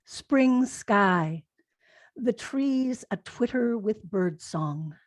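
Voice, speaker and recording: A woman poet reading haiku aloud in a measured delivery with pauses between the phrases. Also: medium pitch, animated, no echo. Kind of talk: poetry reading.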